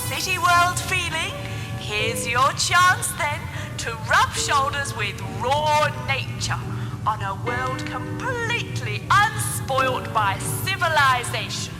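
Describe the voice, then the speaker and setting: An actress playing a holiday camp announcer, reading scripted promotional narration in measured, polished sales patter. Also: female voice, high voice, expressive, big-room sound, music in the background, poor audio. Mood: smooth selling.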